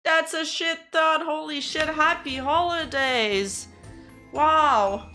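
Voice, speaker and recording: Hoarse woman streamer, unhurried rambling chat, faint music underneath. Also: high voice, pushed and bright. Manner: chatty, rambling, easily laughing. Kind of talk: casual livestream chat with viewers.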